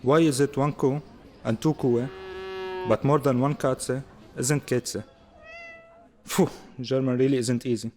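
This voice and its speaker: A man's voice: medium pitch, fairly even intonation, unhurried, long pauses, darkish tone, close to an everyday voice. An actor playing a young Syrian student, baffled and questioning as he puzzles over his studies.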